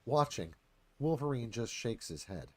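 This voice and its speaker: A man's voice: mid-range voice, sing-song, a little slow. A man narrating a screenplay, reading out scene headings and stage directions.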